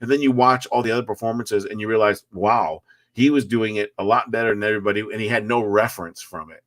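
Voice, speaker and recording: A man in his forties, a guest filmmaker, talking movies with emphatic enthusiasm and quick restarts. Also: fairly low pitch. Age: 40s. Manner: enthusiastic, emphatic, repeats words when excited. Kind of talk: casual live-stream chat.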